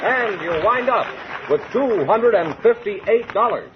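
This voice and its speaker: A man announces scores and introduces the guests in a crisp, upbeat manner, his pitch bouncing lively and a touch high. The sound is muffled like an old 1950s broadcast, with faint audience and hiss behind. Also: measured pace, over loud music, over a noisy crowd. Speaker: show announcer. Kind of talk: formal announcing.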